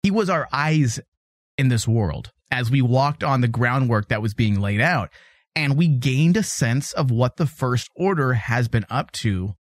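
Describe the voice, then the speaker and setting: A man's voice: mid-range voice, lively intonation, a little slow, no room sound, studio quality. A man co-hosting a casual podcast, chatting animatedly and teasing his co-host, laughing easily.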